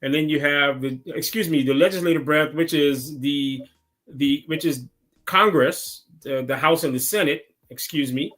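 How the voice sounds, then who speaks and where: A man's voice: a little high, fairly bright tone. A man from Guyana, a city council member, explaining government step by step as an interview guest over a remote call. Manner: measured, explains step by step with tag questions.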